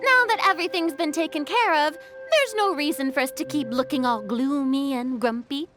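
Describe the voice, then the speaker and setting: A woman's voice: high-pitched, normal speed, fairly bright tone, faint music behind. A woman playing a small, cheerful, bossy female character in an anime game. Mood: upbeat.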